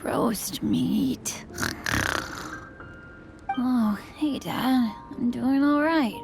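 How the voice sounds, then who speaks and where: Sleep-talking boy hero in a game: slow, breathy, drowsy mumble, good-natured. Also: a little high, over loud music, heavily acted. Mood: drowsy, content. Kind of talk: video game cutscene acting.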